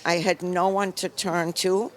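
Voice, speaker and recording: An older woman from Poland, giving a composed, measured account of her past in a live interview. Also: fairly low pitch, flat delivery. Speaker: Holocaust survivor interviewee.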